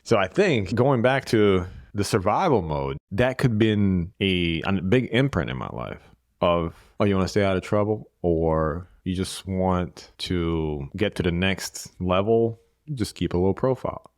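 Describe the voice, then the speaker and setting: A man. He speaks in a measured, reflective way and circles back to the same phrases. He sounds dry and close, with no room sound around him. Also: deep voice, lively intonation, no echo. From Bosnia. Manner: measured, repeats key phrases. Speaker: guest. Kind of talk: reflective interview conversation.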